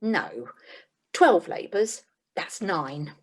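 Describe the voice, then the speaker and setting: A woman's voice: on the low side, lively intonation, very slow, husky, heavily acted. A woman playing a businesslike, slightly weary job agent in a comic radio play. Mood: dry. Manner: businesslike, dry, faintly exasperated. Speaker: employment agent.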